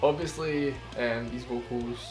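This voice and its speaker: A middle-pitched man explains how he sang a cover, drifting off-topic and swearing casually as he goes. He is self-deprecating about his own skills. A song plays clearly underneath his talk. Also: fairly even intonation, unhurried, a little mellow, soft background music. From United Kingdom. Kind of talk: casual vocal breakdown. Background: song playing underneath.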